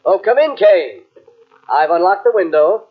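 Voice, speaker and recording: An actor playing a calm, scheming master of the house, condescending and coolly commanding, with a husky voice in 1940s radio style. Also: male voice, high voice, a little slow. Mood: smooth control. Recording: dull, lo-fi old radio cassette recording.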